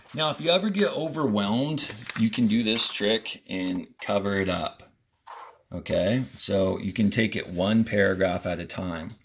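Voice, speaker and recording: A man's voice: medium pitch, a bit bright, husky. Male teacher reading aloud step by step. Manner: deliberate, step-by-step explaining with short directives. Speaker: fourth-grade teacher. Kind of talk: instructional read-aloud of a textbook to pupils.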